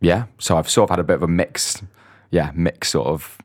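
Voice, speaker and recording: A man's voice: low voice, normal speed. A young man, a podcast guest from Dubai and London, talking casually and hesitantly with many restarts. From grew up mostly in Dubai, born in London. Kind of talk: casual interview chat.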